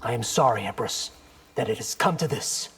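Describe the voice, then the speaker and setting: A man's voice: medium pitch, expressive, moderate pace, fairly bright tone, faint music behind, lightly acted. An actor playing a cocky, determined young male warrior in a video game, with a terse, wry and defiant manner. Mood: firm, defiant. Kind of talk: video game hero acting.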